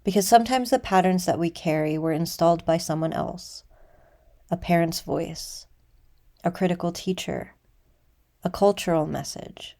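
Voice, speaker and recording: A woman teaching a guided meditation in a measured, deliberate way, leaving pauses between phrases. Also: lowish voice, dark tone.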